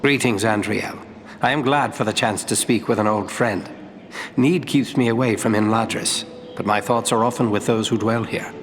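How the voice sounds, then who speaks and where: A man's voice: on the low side, steady tone, a little hiss or hum, lightly acted. Noble ranger in a reverberant hall, played by a man. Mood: courteous. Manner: formal, measured, sincere. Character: noble, courteous ranger, heir to a throne. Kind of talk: fantasy game dialogue acting. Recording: long hall reverb, faint music.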